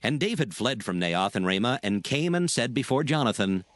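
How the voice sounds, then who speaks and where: A man's voice: medium pitch, sing-song, moderate pace. A man narrating a dramatized scripture reading in solemn, formal archaic phrasing.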